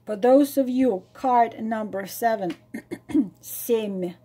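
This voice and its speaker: Slow, husky woman reading tarot. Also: on the high side. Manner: emphatic, repeats phrases for emphasis; drops to a soft breathy near-whisper at times. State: losing her voice. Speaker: tarot reader and psychic. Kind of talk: spontaneous spoken tarot reading to viewers.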